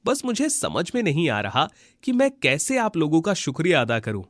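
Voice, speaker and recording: A man voices a humble, good-hearted village husband from a cartoon folk tale. His voice sits a little high and sounds close and dry, and he speaks with earnest, pleading emphasis and simple devotion. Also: huge pitch swings, normal speed, no echo. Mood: grateful. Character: kind, honest, devout poor villager husband, earnest and humble. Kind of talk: animated moral folk tale dialogue.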